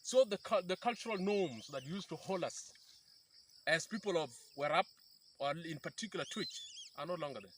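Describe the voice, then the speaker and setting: Interviewgast, Mann in den Dreißigern aus dem Südsudan, erklärt eindringlich und setzt oft neu an; leise Insekten im Hintergrund. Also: male voice, on the high side, expressive, normal speed, a little hiss or hum. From South Sudan. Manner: earnest, explanatory, with frequent restarts. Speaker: peace activist guest. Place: outdoors by a river in rural bushland.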